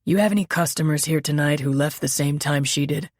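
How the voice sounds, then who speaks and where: A woman voicing a calm, official older male police lieutenant. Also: fairly high pitch, fairly quick, dry recording, clean studio sound, lightly acted. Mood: businesslike.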